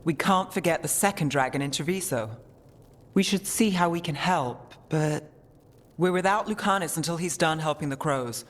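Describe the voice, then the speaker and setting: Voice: mid-range voice, normal speed, fairly bright tone, lightly acted. An actor playing a practical, concerned companion in a video game, earnest and matter-of-fact. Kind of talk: naturalistic video game acting. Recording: reverberant hall.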